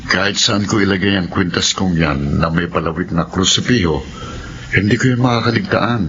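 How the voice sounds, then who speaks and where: An actor playing a firm, skeptical, God-fearing husband, talking conversationally in a radio horror drama. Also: male voice, sits low, moderate pace, a bit bright, lo-fi sound, slight background noise.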